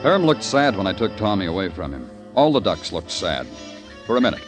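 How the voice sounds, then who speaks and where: An actor playing a world-weary city police detective in 1950s radio noir style, terse, wry and brooding. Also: male voice, low voice, very animated, fairly quick. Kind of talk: 1950s radio noir acting, hardboiled narration. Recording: old radio recording with music.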